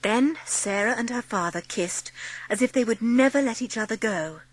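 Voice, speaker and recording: A woman's voice: a little high, normal speed, no room sound, poor audio. A woman narrating a scripted storybook for a dramatised audiobook. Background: music.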